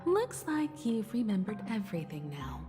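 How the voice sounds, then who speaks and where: A poised, perceptive woman from a video game, voiced by an actress explains with deliberate care and a lively melodic range. The voice rings in a long reverb over faint music. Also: medium pitch, lightly acted. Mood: calm curiosity.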